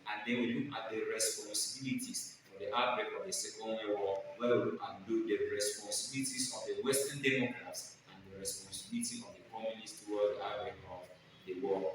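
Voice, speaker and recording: A man giving an exam-preparation history lecture as a teacher, in a didactic way, repeating key phrases with emphasis. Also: fairly low pitch. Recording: very echoey room, distant mic, faint noise.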